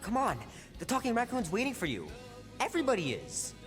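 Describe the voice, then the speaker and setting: Actor doing blunt curious kid in a game, pushy questions, pitch all over the place, loud music. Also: wildly swooping pitch, a little fast. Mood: curious, insistent. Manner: direct, questioning.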